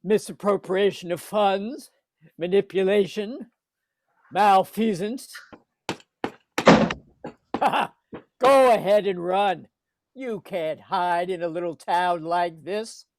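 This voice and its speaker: A man playing a cruel, contemptuous old rich businessman in a radio play, speaking slowly and deliberately. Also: sits high, slight background noise. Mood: gloating, scornful. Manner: contemptuous, gloating, slow and deliberate. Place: home recording over video call.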